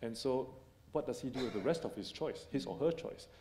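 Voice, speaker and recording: A man in his thirties on a conference panel, making a measured argument into a handheld mic and restating his points as he goes. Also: medium pitch, expressive, darkish tone, a little hiss or hum. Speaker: company panelist. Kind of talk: panel discussion. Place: conference stage in a hall. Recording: echoey hall.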